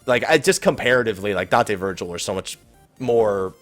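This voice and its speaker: A man's voice: lowish voice, animated, fairly quick, a bit bright. A man hosting a gaming Q&A, talking casually and off the cuff in a rambling, conversational way. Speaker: host of a gaming Q&A show. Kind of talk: casual unscripted Q&A commentary, reading out viewer questions. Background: faint background music.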